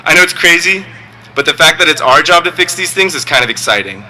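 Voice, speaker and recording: A teenage boy, valedictorian, giving a graduation speech with joking, deadpan asides. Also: on the high side, quick pace, slight background noise, rough recording. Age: teens. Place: outdoor graduation ceremony.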